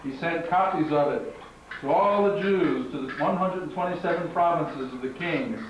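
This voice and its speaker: A man with a slightly high voice reads scripture aloud as a narrator at a live event. His delivery is measured and declamatory. The recording is very echoey and distant, with muffled sound and clear noise. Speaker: narrator and master of ceremonies at a congregational puppet show. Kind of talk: live scripture reading to an audience. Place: large echoing room at a live congregational event. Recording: echoey hall from far off, muffled, noisy.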